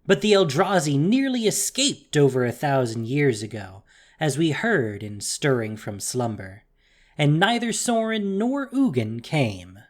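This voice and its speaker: A narrator reading a scripted fantasy story in a measured, theatrical way with deliberate pauses. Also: mid-range voice, sing-song.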